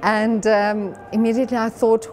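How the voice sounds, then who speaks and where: A woman's voice: fairly high pitch, flat delivery, moderate pace, noisy background. Earnest Afrikaans-accented woman soprano. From South Africa. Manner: earnest, reflective, with light laughter. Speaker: soprano singer. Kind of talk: interview testimony. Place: outdoors beside a brick wall.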